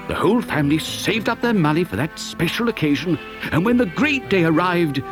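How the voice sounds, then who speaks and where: An actor playing an exuberant, boastful chocolate maker who tells a children's story theatrically, savouring his words. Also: a little high. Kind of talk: dramatised children's story narration.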